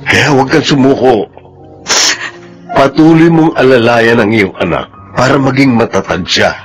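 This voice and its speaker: A man playing a caring, steady widower in a radio drama, with a Filipino accent. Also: medium pitch, normal speed, soft background music, lightly acted. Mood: consoling. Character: caring widower, steady suitor consoling a mother.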